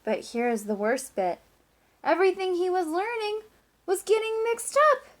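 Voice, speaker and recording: A woman teacher reading a picture book aloud to children, with expressive emphasis, drawn-out words and hushed asides. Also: extremely high pitch.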